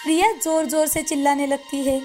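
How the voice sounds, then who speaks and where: A woman narrates a cartoon story in a high voice. Her delivery is scripted storytelling meant for a moral tale. Music plays softly behind her. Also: steady tone, fairly quick.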